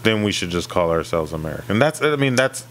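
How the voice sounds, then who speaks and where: A man's voice: deep voice, sing-song, fairly quick, fairly bright tone, a little hiss or hum. A man co-hosting a podcast, talking casually and feeling his way through a point. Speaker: podcast co-host. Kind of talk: casual discussion.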